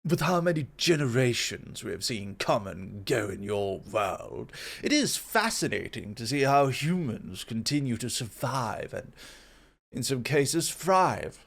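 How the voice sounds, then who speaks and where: A man playing a composed, intellectual god of foresight in an ASMR roleplay, formal, measured and gently superior. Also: medium pitch, sing-song, gravelly, full character voice. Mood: curious, measured.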